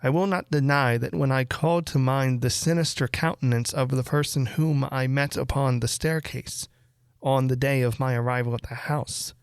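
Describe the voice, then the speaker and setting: A man reading a classic horror story aloud as literary narration, with measured, deliberate phrasing and dramatic pauses. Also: mid-range voice, fairly even intonation, clean tone, no room sound, studio quality. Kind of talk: literary audiobook-style narration.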